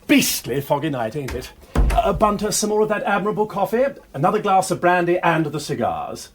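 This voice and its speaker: An actor playing a flippant, witty aristocratic amateur sleuth with an upper-class English accent and a bantering, drawling manner, in a radio drama. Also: male voice, high voice, very animated, brisk, over music. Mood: jovial, theatrical. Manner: breezy, bantering, drawling exclamations. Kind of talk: BBC radio drama acting.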